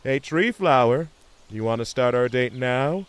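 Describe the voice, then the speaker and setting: A man's voice: mid-range voice, expressive, measured pace, smooth, dry recording, close to an everyday voice. A man voicing a suave, affectionate male cartoon beaver with a cool, sweet-talking manner. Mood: smooth, affectionate.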